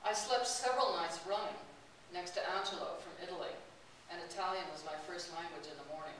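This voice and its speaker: Woman speaker, chatty storyteller, somewhat low voice, distant mic with steady hiss. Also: brisk. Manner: storytelling, chatty, laughs often. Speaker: speaker giving a talk. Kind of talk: personal travel talk to an audience. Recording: distant, roomy, hissy recording.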